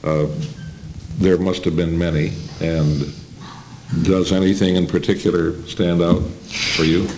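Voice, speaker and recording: An older man acts as interviewer at a panel table. His voice is low and his questions are conversational. The amateur recording carries faint noise. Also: level tone, measured pace, darkish tone, low-quality recording. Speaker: interviewer, radio broadcaster. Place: plain room, seated at a table before a small audience.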